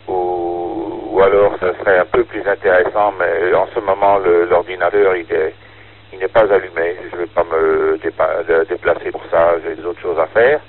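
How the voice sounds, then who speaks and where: A man chatting casually as an amateur radio operator, haltingly searching for words, over a narrow radio link. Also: low voice, little pitch movement. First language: French. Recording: tinny band-limited ham radio transmission.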